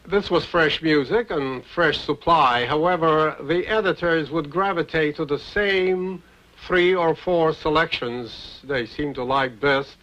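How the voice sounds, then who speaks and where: An older man reminiscing in a documentary interview, speaking in a measured, formal way. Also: fairly high pitch, a bit bright, dull audio. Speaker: film composer interviewed as a witness. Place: interview recorded in New York.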